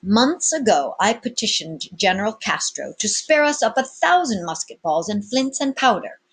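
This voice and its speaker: A woman's voice: mid-range voice, very animated, normal speed, a bit bright, breathy edge, pitched above the performer's own voice, heavily acted. A woman voicing a weary, helpless male judge in a storybook, formal and plaintive. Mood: resigned.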